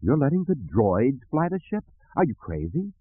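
A man voicing a sharp-tongued, exasperated young woman rebel with a sardonic, scolding manner in an audiobook. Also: on the low side, sing-song, brisk, slightly rough, narrow, tinny audio. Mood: incredulous.

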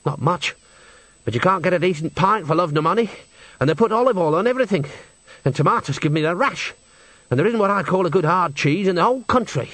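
Man voicing a plaintive slum-born opera singer, pitched high. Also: lively intonation, fast, a bit bright, no echo. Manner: hesitant, sighing, complaining. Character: wistful male opera singer from rough city slums.

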